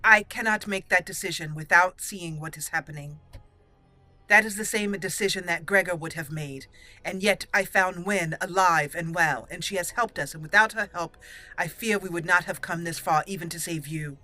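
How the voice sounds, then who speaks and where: A woman voicing a resolute young female elf mage in a game roleplay, speaking formally, deliberately and solemnly. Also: lowish voice, pushed and bright, dry recording, soft background music. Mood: firm, solemn. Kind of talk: improvised video game roleplay.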